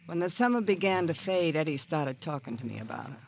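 An actor playing a jilted, sardonic auction-shop worker in an American radio crime drama, with a theatrical, world-weary drawl and an American accent. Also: male voice, a little high, expressive, a little fast, gravelly. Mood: wounded. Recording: noisy old radio drama recording.

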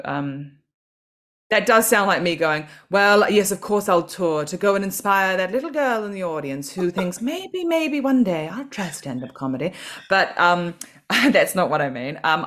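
A woman's voice: on the low side, a bit bright. A woman from New Zealand, a comedian and podcast guest, talking in a chatty, self-deprecating way and laughing often. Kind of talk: casual podcast interview.

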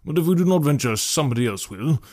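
A man voicing a calmly ironic middle-aged gentleman father in an audiobook, measured, formal and deadpan. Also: on the high side, voice pushed up. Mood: wryly teasing. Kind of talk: audiobook character voice.

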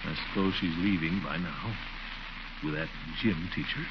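Low-pitched middle-aged man, acted, lonely self-pitying uncle, muttering asides, 1940s radio comedy, dull old recording. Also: normal speed, noisy background, close to an everyday voice. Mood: distracted, glum. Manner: muttering, grumbling asides to himself.

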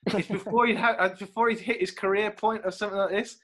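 A young man from Britain chats loosely about acting, jumping between half-finished thoughts and mimicking what people said. His voice sits a bit higher than most men's. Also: fairly even intonation, fast, fairly bright tone. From United Kingdom. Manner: rambling, laughing, acts out quotes. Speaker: actor guest. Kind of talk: casual chat.

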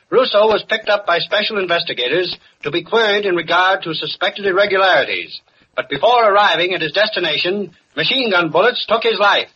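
An actor playing an American radio news commentator, emphatic and solemn, in an old-time declamatory style. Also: male voice, sits high, bright, forceful tone. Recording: muffled, hissy vintage radio recording.

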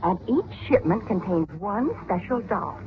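An actress playing an anxious wronged wife, urgent and insistent, in an old-time radio drama. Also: female voice, mid-range voice, lively intonation, measured pace, close to an everyday voice. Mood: agitated, insistent. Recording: muffled, hissy old radio recording.

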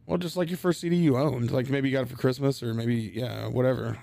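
A man with a gravelly, creaky voice of medium pitch chats on a comedy podcast. His delivery is casual, crude and anecdotal. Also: steady tone, fast, mellow, dry recording.

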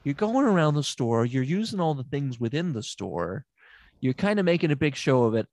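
A man's voice: mid-range voice, big pitch swings, normal speed, darkish tone, no echo. A man playing a smug, unqualified therapist who rambles and stammers in an improvised comedy sketch. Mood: probing. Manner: rambling, stammering, self-assured.

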